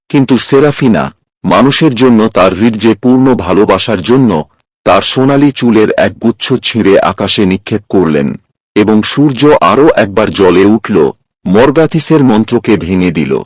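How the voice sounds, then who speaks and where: A man's voice: on the low side, dry recording. A man reading a scripted fairy tale as a storyteller, recorded up close as a voice-over. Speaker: storyteller, narrator.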